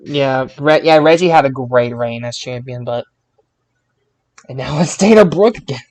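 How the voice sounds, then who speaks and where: A man co-hosting a podcast, chatting casually about events in a halting way, often restarting mid-sentence. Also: mid-range voice, darkish tone. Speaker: podcast co-host. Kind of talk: casual wrestling recap chat.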